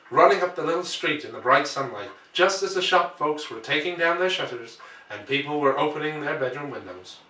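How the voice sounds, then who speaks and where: A man reading a classic story aloud as scripted narration, with measured, deliberate phrasing. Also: fairly high pitch, pushed and bright. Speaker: audiobook reader. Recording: roomy, distant microphone.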